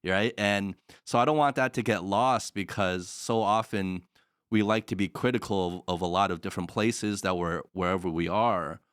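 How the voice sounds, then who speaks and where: Earnest low-voiced man, smooth tone. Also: moderate pace, a bit bright, no room sound, studio quality. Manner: earnest, heavy use of "like". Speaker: podcast co-host. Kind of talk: casual podcast chat. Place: studio in Shanghai.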